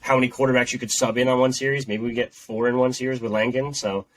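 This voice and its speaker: A man's voice: medium pitch, level tone, fast, a little hiss or hum, poor audio. A man co-hosting a sports podcast while sick with a head cold, his voice nasal and congested as he talks in a rambling, repetitive way. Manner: rambling, repeats himself. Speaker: podcast co-host. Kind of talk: casual sports chat.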